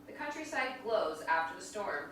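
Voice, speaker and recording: Bright-voiced woman narrating in echoey hall. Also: mid-range voice, sing-song, moderate pace. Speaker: stage directions reader. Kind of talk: scripted narration at staged reading. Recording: very echoey hall, distant mic, faint noise.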